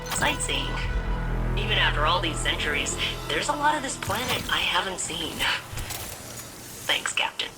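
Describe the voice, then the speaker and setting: A woman's voice: lowish voice, sing-song, normal speed, pauses often, loud music behind the voice, low-quality recording. A woman playing a wry female starship AI in a video game, talking informally. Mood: casual. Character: wry, casual female artificial intelligence of a starship. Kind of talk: scripted game dialogue.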